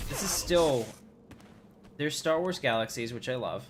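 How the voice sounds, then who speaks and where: A man's voice: medium pitch, lively intonation, a little slow, over music. A man giving casual, rambling livestream commentary as he thinks aloud while playing a game. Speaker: livestream host. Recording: clearly noisy background.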